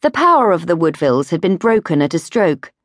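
A woman reading a history audiobook as a scripted narration, in a measured, clearly phrased storytelling manner. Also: on the low side, big pitch swings, dry recording, clean studio sound. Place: recording studio.

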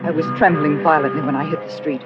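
A woman's voice: low voice, steady tone, fast, close to an everyday voice. An actress playing a haunted, guilt-ridden murderess, narrating her crime in tense, dramatic 1940s radio style. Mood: trembling, obsessive. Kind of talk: 1940s radio suspense acting. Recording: dull old radio recording with music.

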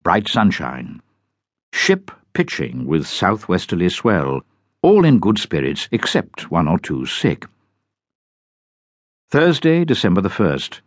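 Deep-voiced man reading expressively. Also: no echo. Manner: measured, literary, expressive delivery. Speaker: audiobook narrator. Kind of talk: scripted dramatic reading of a journal.

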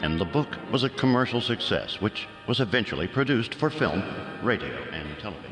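A male host reads a scripted introduction in a low, lively voice with wide pitch swings. Loud music plays underneath. A long reverb trails his words. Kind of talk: scripted narration.

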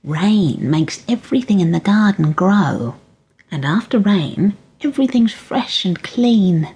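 A woman voicing a gently cheerful grandmother in a storybook reading, lifting her voice higher and lilting. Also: lively intonation, measured pace, breathy edge, heavily acted. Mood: reassuring.

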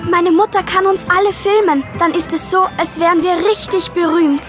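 A woman's voice: extremely high pitch, fairly even intonation, moderate pace, over music. A woman playing a bossy, self-assured schoolgirl with a confident, showy manner in a children's audio drama. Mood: excited, confident.

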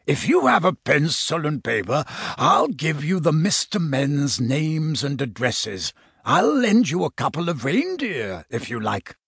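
Elderly jolly uncle figure, acted by a man, storybook, rumbly, husky, slow, affectionate, animated intonation. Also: no room sound, clean studio sound, full character voice. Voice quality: deep, rumbly.

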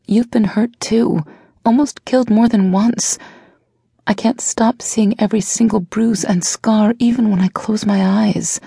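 A woman's voice: medium pitch, steady tone, unhurried, vocal fry, dark tone, slightly rough, dry recording, studio quality, voice pushed up. A woman reading an audiobook voices a fiery, devoted young woman who scolds and pleads with her partner. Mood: emotional. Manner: pleading, insistent.

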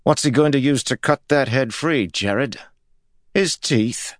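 A man's voice: mid-range voice, unhurried, no echo, voice pushed up, lightly acted. A man voicing a skeptical, insubordinate guard who mocks his lord in a storybook. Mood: mocking. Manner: mocking, dry.

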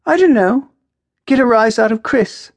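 A woman narrator voicing a lively, teasing, earnest young woman with a lyrical, expressive way of talking in a story. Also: on the high side, normal speed, dry recording, voice pushed up. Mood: playful, probing.

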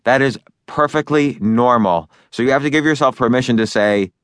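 A man's voice: mid-range voice, moderate pace, a bit bright, no echo, studio quality. A man narrating his own book in a loose, conversational way, with fillers and restarts as he talks over each point. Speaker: author and narrator.

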